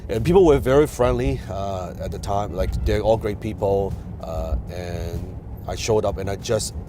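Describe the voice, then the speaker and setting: A man's voice: fairly low pitch, moderate pace, background noise. A man from Hong Kong whose first language is Chinese, hosting a casual advice talk and telling his story with many fillers and restarts. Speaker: host. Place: in a car.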